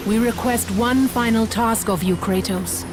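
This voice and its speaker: An actress playing a calm, commanding goddess who speaks in a measured, regal way, over game music. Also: female voice, a little high, natural voice. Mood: solemn.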